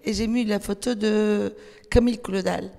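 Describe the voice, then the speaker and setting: A woman with a Turkish accent, a native Turkish speaker, speaking at a festival panel, hesitant, with many fillers and restarts, animated when she tells a story. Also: medium pitch, normal speed, creaky. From Turkey. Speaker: panel guest, writer. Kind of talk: panel discussion. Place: festival hall. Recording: long hall reverb.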